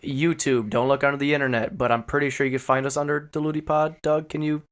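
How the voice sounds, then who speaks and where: A man's voice: mid-range voice, level tone, fast. Casual joking man, podcast co-host. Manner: profane, joking. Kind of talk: casual comedic podcast banter.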